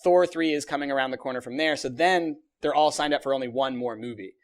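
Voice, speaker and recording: A man's voice: mid-range voice, fast, creaky. A man co-hosting a podcast, explaining things informally in casual group chat. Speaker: podcast co-host.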